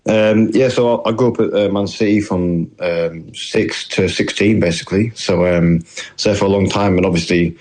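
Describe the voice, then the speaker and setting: A man's voice: deep voice, fairly even intonation, moderate pace, darkish tone. A young man with a northern English accent, a footballer answering interview questions politely and hesitantly, with many fillers. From New Zealand. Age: young adult. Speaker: footballer guest.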